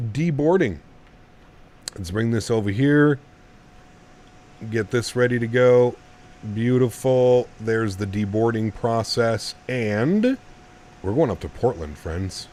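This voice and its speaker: A man with a deep, low voice talks to his live-stream chat while flying a simulator. He explains his reasoning at length, circling back and restarting phrases as he goes. A faint hum sits behind him. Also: a little mellow. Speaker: flight sim streamer.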